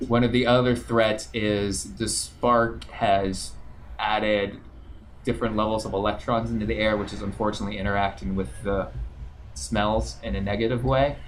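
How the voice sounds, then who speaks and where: A man narrating as game master of a tabletop game, relaxed and descriptive, with frequent fillers. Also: fairly low pitch, moderate pace, a little hiss or hum.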